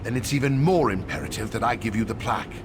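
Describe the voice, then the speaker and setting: A man playing an earnest fantasy game townsman, talking in a measured, articulate, conspiratorial manner. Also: fairly low pitch, background noise, lightly acted. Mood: earnest, urgent. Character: earnest male fantasy townsman confiding a secret. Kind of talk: AI-voiced fantasy game dialogue.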